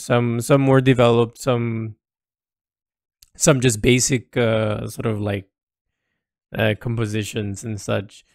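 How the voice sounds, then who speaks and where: A man's voice: mid-range voice, creaky, no echo, clean studio sound. A man recording a reflective, unscripted audio log as an artist, thinking aloud hesitantly and often repeating words and phrases.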